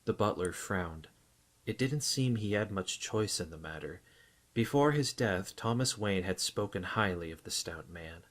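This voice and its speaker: Guy narrating a novel audiobook, lowish voice, scripted storytelling read. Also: lively intonation, a little slow, a bit bright.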